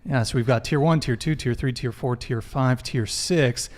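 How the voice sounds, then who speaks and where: Young dude running a live podcast, jokey, kinda scattered. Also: male voice, mid-range voice, moderate pace. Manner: loose, joking, stumbles over tech mishaps. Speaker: podcast host. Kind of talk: live stream announcements, casual.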